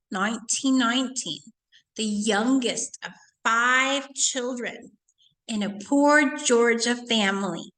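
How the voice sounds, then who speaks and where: Slow, bright-voiced woman teacher reading aloud. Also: on the high side, rough recording. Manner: didactic, pauses to question and explain to listeners. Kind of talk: read-aloud lesson for children with asides and questions.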